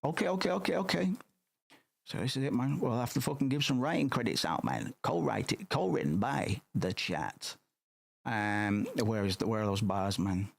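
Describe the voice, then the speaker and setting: A hoarse man rambling casually on a live stream, swearing often and tagging "man" onto his sentences. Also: mid-range voice, lively intonation, normal speed. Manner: rambling, profane, keeps saying "man".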